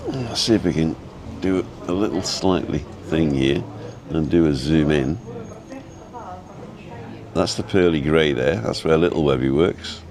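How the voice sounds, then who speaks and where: A man with an easygoing, chatty and halting way of talking, casually rambling as he hosts a live vlog. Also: very deep voice, a little mellow. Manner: easygoing, chatty, halting as he reads and answers viewer comments. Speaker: live-streaming vlogger and host. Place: outdoors at a restaurant overlooking the road and ocean.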